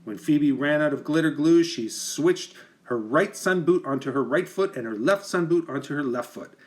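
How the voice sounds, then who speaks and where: Animated man reading a children's storybook. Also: on the high side. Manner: animated, deliberate emphasis for young listeners. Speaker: teacher reading a picture book aloud. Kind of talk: expressive children's storybook narration.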